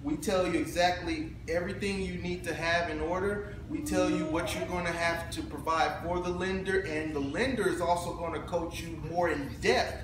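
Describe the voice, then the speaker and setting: A high-voiced man talks about the housing market in an animated, joking way. He is recorded from far off in a very echoey room, with clear noise behind him. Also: normal speed, hardly any pauses. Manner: animated, playful banter with repeated phrases. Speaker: realtor co-host. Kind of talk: casual promotional chat.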